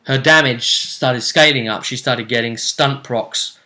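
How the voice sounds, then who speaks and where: Male game master, bright edge to the voice, chatty and rambling tabletop recap. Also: mid-range voice, a little slow. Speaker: game master and show host.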